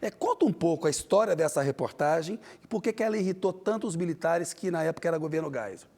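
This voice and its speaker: A man's voice: fairly high pitch, lively intonation, fast talker, gravelly, darkish tone. A man with a Brazilian accent hosting a TV interview, asking his guest friendly, prompting questions. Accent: Brazilian Portuguese. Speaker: TV interview host.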